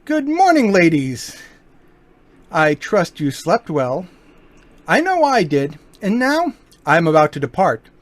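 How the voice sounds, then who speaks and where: An actor in an amateur old-time radio play, voicing a smooth, mocking gentleman burglar with a lively, swinging delivery. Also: high voice, takes it slowly, long pauses, full character voice. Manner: smooth, mocking, turns menacing. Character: suave, cocky male gentleman burglar.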